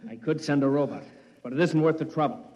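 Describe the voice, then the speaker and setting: An actor playing a stolid checkpoint guard in a 1950s radio drama, curt and matter-of-fact. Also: male voice, fairly high pitch, quick pace, lightly acted. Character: stolid, official male guard at a tunnel checkpoint. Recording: muffled, reverberant vintage radio recording.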